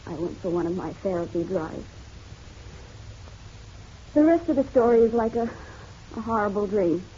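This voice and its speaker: An actress playing a distraught, guilt-ridden wife in a melodramatic radio drama, halting and emotional. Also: female voice, mid-range voice, animated, dark tone, natural voice. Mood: sorrowful confession. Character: distraught, guilt-ridden married woman confessing to a detective. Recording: dull lo-fi radio drama, faint noise.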